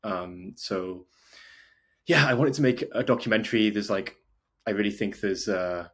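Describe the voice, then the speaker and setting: A man's voice: on the low side, moderate pace, a bit bright. A man from North Wales chatting informally as an interview guest, often dropping "like" into his sentences. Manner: informal, frequent "like" as filler. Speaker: podcast guest, video maker and documentary filmmaker. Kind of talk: casual interview chat.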